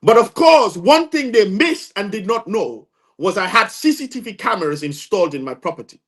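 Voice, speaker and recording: High-voiced, emphatic Gambian man. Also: big pitch swings, normal speed, fairly bright tone. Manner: emphatic, insistent, repeats phrases for stress. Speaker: interview guest, lawyer. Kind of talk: impassioned interview testimony.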